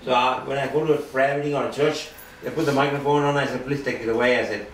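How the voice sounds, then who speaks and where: An older man giving an earnest testimony sermon with a loud voice, swinging between hushed and forceful delivery. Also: mid-range voice, fairly even intonation, fast talker, a little hiss or hum. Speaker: lay preacher giving testimony. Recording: roomy and distant with faint ambience.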